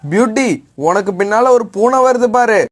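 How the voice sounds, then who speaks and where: A man's voice: very high-pitched, moderate pace. A man voicing a sly, cheeky, boastful young male bird in a children's cartoon. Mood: sly, coaxing.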